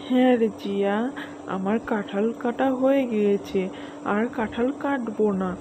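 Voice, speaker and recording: A woman's voice: fairly high pitch, moderate pace, noisy background, close to an everyday voice. A woman voicing a stingy, scornful animal mother in a children's cartoon, dismissive and scolding. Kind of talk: children's cartoon story voice acting.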